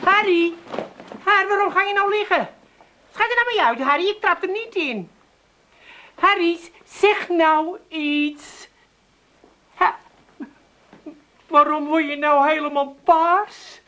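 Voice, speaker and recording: A woman's voice: very high-pitched, slow pace, long pauses, bright, forceful tone, exaggerated voice. A woman playing a bossy, fussing, exasperated sister in a comic radio drama, scolding. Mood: alarmed, exasperated.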